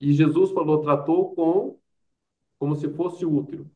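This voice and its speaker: A man's voice: on the high side, steady tone, normal speed, a little mellow, recorded from a distance. A man with a Brazilian accent, an interview guest telling anecdotes and explaining his points with rhetorical questions and quoted asides. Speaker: interview guest, doctor and public health expert. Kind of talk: conversational interview, anecdotal storytelling.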